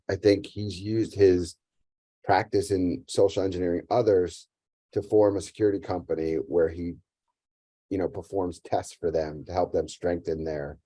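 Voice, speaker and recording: Deep-voiced man leading an online class. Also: dark tone, dry recording. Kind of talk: interactive lesson, prompting participants. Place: online video call.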